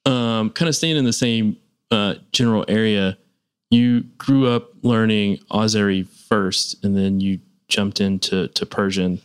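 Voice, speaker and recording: Smooth, low-voiced male host. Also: fairly even intonation, a little slow. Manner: encouraging, frequent back-channel agreement. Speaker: podcast host. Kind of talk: casual interview conversation.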